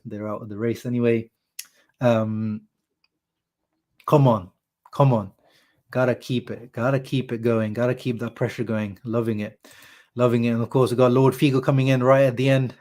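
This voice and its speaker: A young man with a sore throat hosting a live football reaction stream, chatting casually and answering viewers' comments. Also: mid-range voice. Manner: animated, chatty, says "bro" and "like" a lot. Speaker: football fan channel host. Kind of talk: live-stream match reaction, reading chat.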